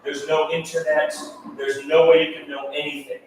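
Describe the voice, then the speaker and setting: A man's voice: fairly high pitch, level tone, moderate pace. A man from India whose first language is Tamil, an artist speaking in a casual interview and stressing his points by repeating them. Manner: emphatic, repeats key points. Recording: echoey room, distant mic, faint hiss.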